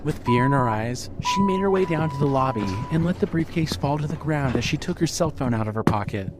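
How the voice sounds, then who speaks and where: Casting: male audio fiction narrator, average pitch, measured scripted read, prominent music bed. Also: animated.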